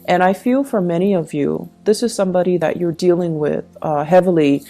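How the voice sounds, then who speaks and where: A woman's voice: fairly low pitch, brisk, warm tone. A woman giving a conversational tarot reading, speaking reflectively and advising the listener directly. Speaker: tarot reader, channel host. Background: background music.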